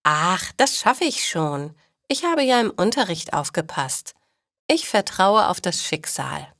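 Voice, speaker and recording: A woman's voice: lowish voice, big pitch swings, measured pace, a bit bright, no echo, studio quality, exaggerated voice. A woman voicing a breezy, overconfident teenage schoolgirl in a storybook, carefree and reassuring. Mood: carefree, confident.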